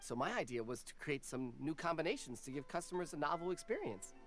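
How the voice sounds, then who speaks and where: A woman's voice: sits low, sing-song, fast, soft background music. A woman playing a humble female snack vendor in a video game, earnest, deferential and easily flustered. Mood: earnest, chatty.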